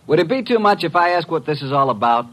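An actor playing a brash, wisecracking young detective's assistant, breezy and slangy, in 1940s radio style. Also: male voice, on the high side, big pitch swings, fast, a bit bright, low-quality recording. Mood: skeptical.

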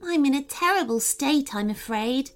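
A woman performs a tiny storybook fairy with a high, soft-spoken delivery. The little creature is timid and sad, sniffling as she speaks with careful politeness, lingering over her words. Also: expressive, measured pace, gravelly, heavily acted. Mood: sad, despairing. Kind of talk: children's storybook narration.

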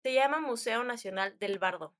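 Young Mexican woman, higher-than-average voice, informal and talkative, recounting anecdotes like chatting with a friend. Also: normal speed. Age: young adult. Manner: chatty, informal, slangy, animated retelling with quoted dialogue. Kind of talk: casual storytelling chat.

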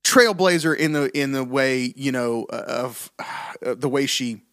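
A man co-hosting a casual music podcast, bluntly dismissive and halting, with frequent restarts. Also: mid-range voice, fairly bright tone, no room sound, studio quality. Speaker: podcast co-host. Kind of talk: casual opinionated music chat.

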